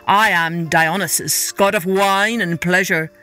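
A woman voicing a solemn, stately male god from a myth, speaking in measured phrases. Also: on the low side, steady tone, sharp tone, soft background music.

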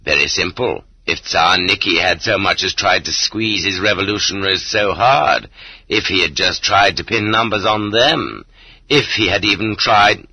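A man's voice: low voice, normal speed, bright, forceful tone, husky, dry recording, heavily acted, low-quality recording. A man voicing a smug, dogmatic Marxist historian who lectures in a condescending way in an audiobook. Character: smug, pedantic educated male Marxist historian, dogmatically dismissive.

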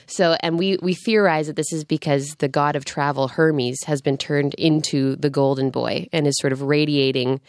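A woman's voice: low-pitched, fairly even intonation, no room sound. Female theatre producer, Canadian accent, conversational, upbeat and chatty, dry close radio studio. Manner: chatty, upbeat, quick to laugh. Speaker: theatre producer, interview guest.

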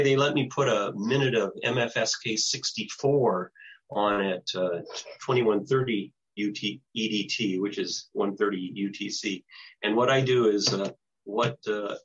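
An older American man giving an informal presentation and answering questions in a halting, hesitant way over a video call. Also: fairly low pitch, fairly bright tone, husky. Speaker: presenter, radio show producer. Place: small home office with bookshelves, indoors. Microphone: computer mic on a video call. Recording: dull lo-fi video call audio.

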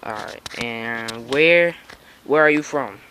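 A man casually interviewing someone from behind a handheld camera on a porch, hesitant and filling pauses with "um" and "all right". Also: medium pitch, background noise. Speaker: interviewer filming a young skater. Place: outdoors on a house porch.

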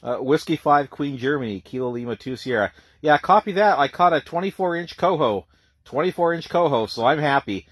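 A man's voice: a little high, expressive, fairly quick, a bit bright, breathy edge, dry recording, clean studio sound. A man working as an amateur radio operator, speaking plainly in radio jargon and repeating details for clarity. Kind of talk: ham radio contact, phonetic spelling.

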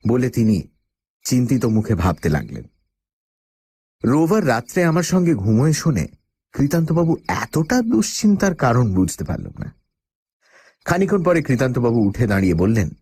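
A man's voice: medium pitch, big pitch swings, normal speed, pauses often, darkish tone, breathy edge, no echo. A man playing the uneasy first-person narrator of a radio horror story, telling it in a dramatic, suspenseful way. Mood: tense, steady. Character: male first-person narrator, uneasy job-seeker with a dog.

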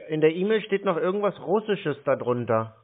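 A man posing as a call target on a long phone call, talking slowly and hesitantly, stalling with hums, fillers and small complaints. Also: fairly high pitch, very animated. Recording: tinny phone line.

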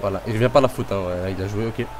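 Brief: man, cold, low voice, excitable live football game commentary, audible hum behind him. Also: very fast. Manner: excitable, talks to players and referee. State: has a cold. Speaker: gamer commentating his own football match. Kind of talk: casual play-by-play commentary.

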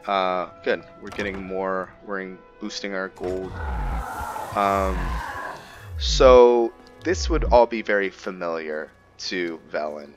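Guy with a deep voice chatting over his roleplay game, stops and starts, bit of music behind. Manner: hesitant, pausing mid-sentence as he thinks aloud. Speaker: game streamer narrating a roleplay playthrough. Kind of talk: casual let's play commentary.

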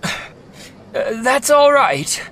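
A man's voice: very high-pitched, very animated, drawn-out words, sharp tone, a little hiss or hum, exaggerated voice. A man playing a brave, polite, earnest young reporter hero in an audio drama.

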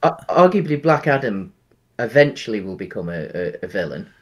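A man in his thirties with a fairly low voice chats about a film over a home video call. He rambles in an easygoing way and fills his pauses with ums. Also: very animated, husky. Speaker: podcast co-host. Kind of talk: casual film-review chat. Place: home room.

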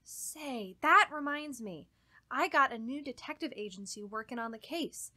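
High, bright, naive daughter acted by a woman. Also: lively intonation, normal speed, full character voice. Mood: earnest, hopeful. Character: naive, trusting grown daughter longing for her missing mother. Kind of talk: radio horror drama dialogue.

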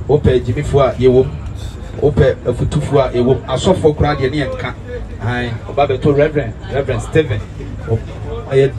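A man with a Ghanaian accent in animated casual talk. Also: medium pitch. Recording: noisy, distant phone recording outdoors at night.

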